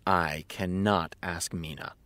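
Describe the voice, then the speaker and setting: A man's voice: low voice, steady tone, takes it slowly, pushed and bright, clean tone, no echo, exaggerated voice. An actor playing a needy, self-important male showrunner in a comedic audio drama, theatrical and insistent. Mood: exasperated, pleading.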